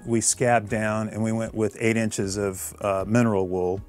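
A man in his fifties from Illinois, giving a relaxed, technical tour of his basement recording studio. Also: on the low side, measured pace, faint music behind. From Illinois, USA.

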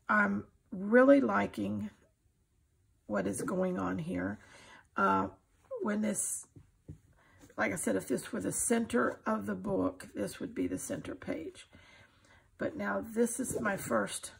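An older woman with a husky, gravelly voice, giving an informal, folksy and encouraging craft demonstration. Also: medium pitch. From United States. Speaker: craft tutorial host. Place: indoors at a craft table.

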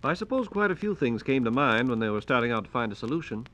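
An American man speaks briskly in a medium-pitched voice on a 1940s radio disc. He plays the co-host, with scripted, informal banter that prompts his partner along. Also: very animated, fairly bright tone, muffled recording, slight background noise.

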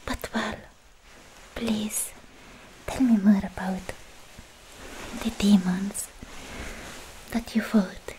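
A young woman playing a lovestruck, bashful anime swordswoman in a coy, hesitant, breathy whisper for an ASMR roleplay, close to the microphone. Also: mid-range voice, fairly even intonation, extremely slow, long pauses, dark tone, slight background noise, low-quality recording. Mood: tender, admiring. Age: young adult.

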